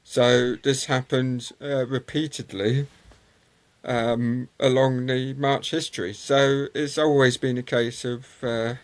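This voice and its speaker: A man recounts racing history in a loose, meandering way, filling his pauses with ums and uhs. His voice sits at an ordinary middle pitch for a man. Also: flat delivery. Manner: rambling, many ums and uhs, anecdotal. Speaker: motorsport historian guest. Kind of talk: conversational interview storytelling.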